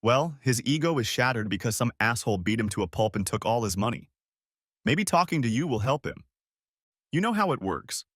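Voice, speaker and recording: A man's voice: medium pitch, very animated, a little fast, fairly bright tone, no echo, clean studio sound. A man reading a story aloud, voicing a friendly woman neighbor who talks earnestly and with concern. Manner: earnest, caring.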